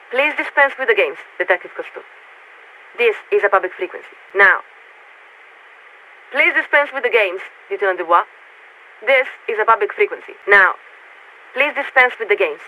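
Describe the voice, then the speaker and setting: A woman playing a polite, guarded young warship radio signaler in a video game, speaking in formal, measured official diction through a radio filter. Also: medium pitch, bright, forceful tone. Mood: stern, irritated. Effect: radio transmission filter. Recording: tinny narrowband radio with static noise.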